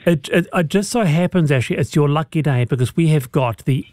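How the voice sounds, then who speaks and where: A man's voice: a little high, fairly quick, a little mellow, no room sound, clean studio sound. A man hosting a radio talk show with enthusiastic, exclaiming delivery. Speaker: radio host. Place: radio studio.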